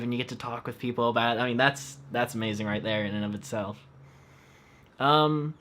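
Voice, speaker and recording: A man's voice: mid-range voice, bright, forceful tone. A man hosting a casual live stream interview in a friendly way, reading out viewer questions. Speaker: host.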